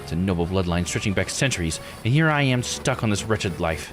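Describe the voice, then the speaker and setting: A man's voice: deep voice, lively intonation, a little fast, over music. Man voicing a bitter, proud island woman diver. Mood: bitter, indignant. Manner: resentful, haughty, blunt. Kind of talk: visual novel character read-aloud.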